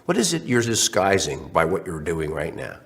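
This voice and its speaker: A man's voice: low-pitched, moderate pace. A man lecturing online, slightly congested from a cold, with many restarts and fillers. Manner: animated, acts out questions in other voices.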